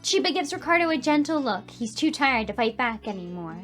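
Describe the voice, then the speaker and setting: A woman's voice: high voice, very animated, brisk, fairly bright tone, soft background music. A woman hosting a gaming video, reading story narration expressively and adding emotive, reactive commentary. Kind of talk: expressive game read-aloud with commentary. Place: voice-over on gameplay with game music behind.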